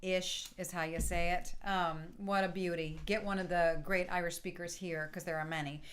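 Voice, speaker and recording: A woman's voice: on the low side, steady tone, normal speed. A woman leading an online tune-playing session, chatting in a friendly, explanatory way with frequent ums. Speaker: session leader and music teacher. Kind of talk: casual online session chat.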